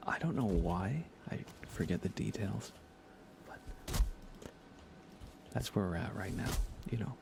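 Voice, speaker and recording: A man softly commentating on a relaxed ASMR gaming livestream, often near a whisper, musing aloud casually with dry humor. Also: deep voice, unhurried, gravelly, pauses often, noisy background. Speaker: live streamer, host.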